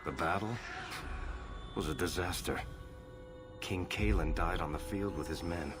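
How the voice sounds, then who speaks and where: An actor playing a roguish dwarf storyteller in a video game, sardonic, smooth and theatrical. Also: male voice, sits low, measured pace. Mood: grave storytelling. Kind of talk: dramatic video game acting and narration. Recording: long reverb, loud music behind.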